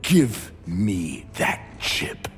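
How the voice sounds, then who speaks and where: An actor playing a stubborn, authoritarian middle-aged starship captain in a video game, stern and formal. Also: male voice, deep voice, huge pitch swings, extremely slow, exaggerated voice. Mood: commanding. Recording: long reverb, music behind.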